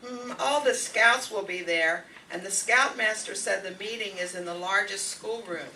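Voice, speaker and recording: A woman playing a brisk, managing housewife in an old-time radio sitcom, persuasive and take-charge. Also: medium pitch, pushed and bright, far from the mic, slight background noise, poor audio. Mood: coaxing.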